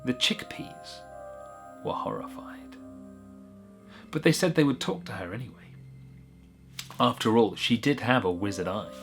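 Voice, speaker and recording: A man's voice: medium pitch, expressive, unhurried, pauses often, faint music behind. A man narrating a whimsical short story in a wry, conversational way with little asides.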